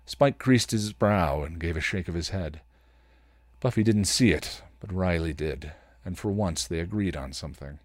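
A man's voice: deep voice, moderate pace, no room sound. A man hosting a podcast, reading fan fiction and chatting casually. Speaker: podcast host and reader.

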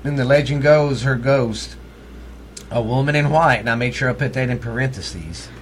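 A man's voice: medium pitch, moderate pace, a little hiss or hum. A middle-aged man with a Texan accent, co-hosting a podcast and telling ghost stories in a blunt, joking, profane way. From Texas. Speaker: podcast co-host. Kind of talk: casual ghost-story chat.

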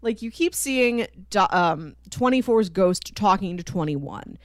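A woman co-hosting a podcast in casual chat, telling stories in an animated way and acting out quoted dialogue. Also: mid-range voice, measured pace, no room sound, studio quality. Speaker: podcast co-host. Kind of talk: casual chat about a TV cartoon.

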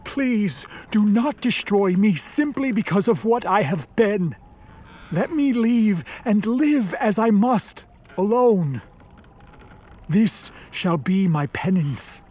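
A created monster in a radio play, acted by a man, full of sorrow and remorse. The voice is high for a male, and the speech is formal and eloquent as it pleads solemnly. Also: animated, takes it slowly, a little mellow, heavily acted. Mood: resigned, pleading.

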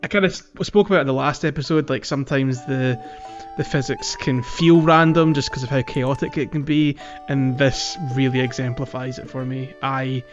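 A man's voice: mid-range voice, steady tone, normal speed, hardly any pauses. A man co-hosting a casual gaming podcast, chatting with wry, grumbling understatement. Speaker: podcast co-host. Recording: dull lo-fi mic, faint music. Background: faint background music.

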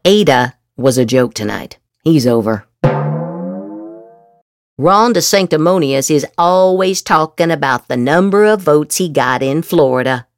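A woman's voice: deep voice, lively intonation, measured pace, dry recording, faint music behind. A woman podcast host reading political social media posts aloud dramatically, with theatrical, exaggerated inflection.

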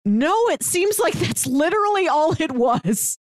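A woman's voice: very high-pitched, expressive, measured pace, no echo, clean studio sound. A woman co-hosting a podcast, chatting casually about a film with frequent "like" fillers and playful sarcasm. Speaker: podcast co-host. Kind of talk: casual movie discussion.

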